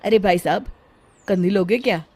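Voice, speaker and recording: Woman voicing a loud roaming street comb peddler. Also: mid-range voice, husky, lightly acted. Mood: blunt, dismissive. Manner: drawn-out hawking calls, blunt.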